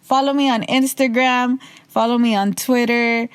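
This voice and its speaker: A young woman with a raspy voice hosting her own podcast, talking loosely and casually, swearing freely, a little tipsy. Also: on the high side, steady tone, normal speed, no room sound. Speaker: podcast host. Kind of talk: casual solo monologue.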